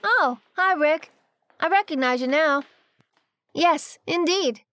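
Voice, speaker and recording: A woman's voice: high voice, slow pace, a bit bright, dry recording. A woman playing an eerily cheerful, polite squatter in an audio drama, speaking with mannered, formal phrasing. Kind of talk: audio drama dialogue acting.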